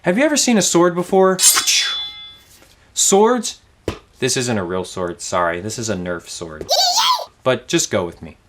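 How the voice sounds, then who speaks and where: A man's voice: medium pitch, unhurried, pauses often, background noise. A young man in his twenties from Louisiana with a Southern accent, teaching a playful kids' object lesson with lots of questions. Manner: animated, playful, asks questions. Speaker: kids ministry teacher.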